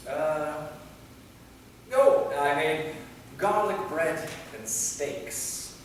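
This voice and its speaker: A man's voice: on the high side, drawn-out words. A man acting an anxious, earnest, centuries-old vampire knight in a live staged play reading. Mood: defensive. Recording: echoey hall from far off, faint noise.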